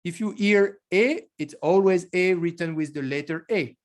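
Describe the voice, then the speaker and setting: A man's voice: high voice, normal speed. Man giving an online lecture. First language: French. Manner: explanatory, with frequent restarts.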